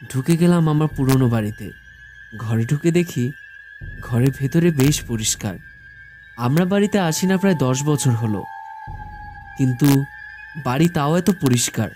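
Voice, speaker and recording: A man narrating a scripted first-person horror story in a measured storytelling manner. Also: mid-range voice, mellow. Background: background music.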